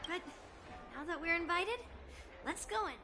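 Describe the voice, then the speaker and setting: Game heroine: eager young girl, high-pitched, informal and chatty. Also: a little slow. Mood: perky, eager. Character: perky, casual young girl swordswoman. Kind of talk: video game character dialogue. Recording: music behind the voice.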